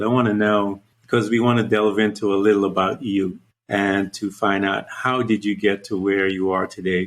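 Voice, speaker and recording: Very deep-voiced podcast host. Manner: encouraging, with many fillers. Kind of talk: interview hosting.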